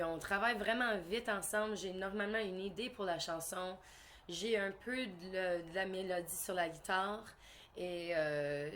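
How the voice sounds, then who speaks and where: A woman's voice: on the low side, a bit bright. Canadian french singer woman, interview chat, lots of um and restarts, bit of english mixed in, light background noise. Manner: hesitant, many fillers and restarts; slips English words into French. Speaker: singer-songwriter. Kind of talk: casual interview answers.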